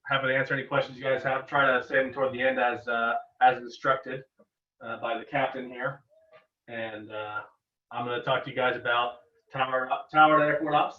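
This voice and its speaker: Male webinar presenter, mid-pitched, casual and wry, heard through a dull, roomy connection. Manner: casual, joking asides. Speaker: guest speaker, air traffic controller and pilot. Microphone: online video call. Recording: echoey, distant, dull webinar microphone.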